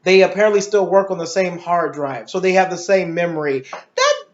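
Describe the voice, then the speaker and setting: Guy with high voice griping casually about a film, exasperated and opinionated, dull roomy audio. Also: very animated, fairly quick. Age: adult. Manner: blunt, exasperated complaining, slangy. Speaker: video reviewer and host. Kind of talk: casual opinionated movie review chat. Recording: echoey room, dull lo-fi audio.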